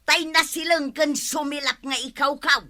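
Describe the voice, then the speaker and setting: A woman's voice: high voice, fairly even intonation, normal speed, pushed and bright. A woman with an Ilocano accent playing a scolding, suspicious, insistent wife in a radio comedy drama. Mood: accusing, indignant.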